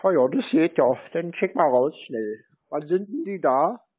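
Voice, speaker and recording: A man's voice: a little high, animated, husky. A man playing a naive, eager, rambling provincial German fellow on a prank phone call. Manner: naive, rambling, feigned slowness. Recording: narrow, tinny phone-like sound.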